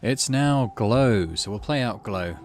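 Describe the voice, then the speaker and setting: A man's voice: on the low side, lively intonation, slow, a little hiss or hum. A man casually commentating on a game, thinking aloud in murmured asides and reading story text out. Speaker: gaming channel host.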